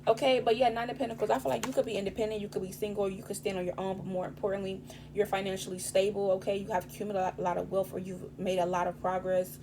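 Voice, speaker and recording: A woman giving a casual tarot reading, rambling and profane with streetwise slang, her voice raspy this morning. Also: medium pitch, little pitch movement, quick pace, noisy background. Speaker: tarot reader.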